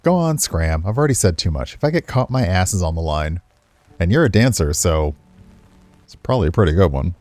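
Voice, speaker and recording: A man's voice: low-pitched, very animated, brisk, a little mellow, no room sound. A man voicing an amused, evasive male dancer who is teasing and secretive, in a visual novel. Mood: teasing, wary.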